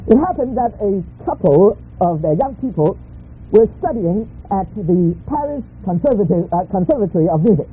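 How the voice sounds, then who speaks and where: Man, middle-aged, preacher, high pitch, very dark tone, emphatic and humorous, short phrases. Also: very animated, moderate pace, slight background noise, poor audio. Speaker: guest preacher. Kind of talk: sermon delivered through an interpreter.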